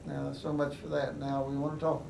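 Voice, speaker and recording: An older man with a West Virginian accent recalls his life slowly, pausing often. His voice is gravelly and fairly high, with little rise and fall. He sounds set back from the microphone in an echoey room with steady background noise. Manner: unhurried, halting, many pauses and fillers. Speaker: veteran interviewee. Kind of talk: oral history recollection. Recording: echoey room, mic at a distance, noisy.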